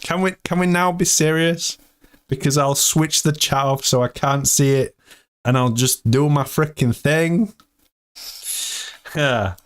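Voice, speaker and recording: Male streamer in his 30s, chatty and joking, unhurried delivery. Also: a little high, clean tone. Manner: jokey, laughs often, chatty. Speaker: live stream host. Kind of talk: casual live-stream chat. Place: hot room indoors.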